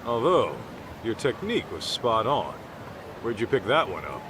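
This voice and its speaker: A man's voice: big pitch swings, normal speed, noisy background. An actor playing an easygoing, tough male private detective in a game dub, talking in a casual, cocky, protective way. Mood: gently instructive. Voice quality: low, sturdy voice. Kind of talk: English dub game cutscene acting.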